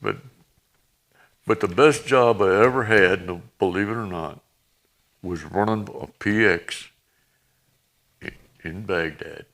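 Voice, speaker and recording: A man in his eighties, a veteran guest reminiscing in plain-spoken, halting, folksy talk. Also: deep voice, creaky, a bit bright. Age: 80s. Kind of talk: reminiscing in a conversation.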